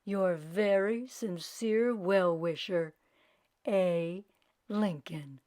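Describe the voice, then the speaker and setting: A woman voicing a grave, courteous middle-aged statesman from a storybook, in a measured, formal and deliberate way. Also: lowish voice, a little mellow, pitched below the performer's own voice.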